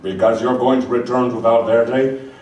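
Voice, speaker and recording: A man playing a suave, menacing deposed dictator in a live radio play, speaking formally and deliberately. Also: medium pitch, fairly even intonation, a little mellow, highs cut off, close to an everyday voice. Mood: smooth menace. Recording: echoey, distant live room, background noise.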